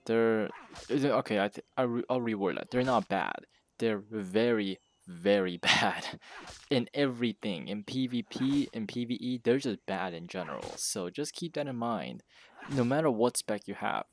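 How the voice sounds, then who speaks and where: A man's voice: fairly low pitch, animated, moderate pace, a little hiss or hum. A man with a sore throat from being sick, talking through a casual game guide in a candid, rambling way that corrects itself as he goes. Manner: candid, rambling, self-correcting, with brief laughs. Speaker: gaming video host. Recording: voice-over with clear background music.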